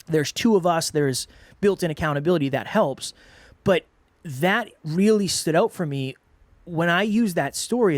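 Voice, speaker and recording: Earnest, congested male podcast co-host, higher-pitched voice, dry close-mic sound. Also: dry recording. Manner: earnest, repeats himself while making a point. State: congested, sore throat. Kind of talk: casual podcast chat.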